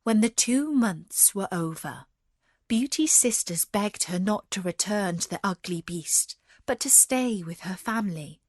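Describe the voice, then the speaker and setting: A woman narrating a scripted fairy tale as a storyteller, measured and expressive. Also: mid-range voice, dry recording, clean studio sound.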